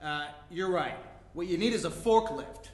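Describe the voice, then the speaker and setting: A man's voice: a little high, lively intonation, normal speed, close to an everyday voice. A man playing a brash, athletic older brother in his thirties who needles in mocking slang, in a staged comedic play reading. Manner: slangy, mocking, jock-like delivery. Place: indoor room before a live audience. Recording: roomy live stage recording.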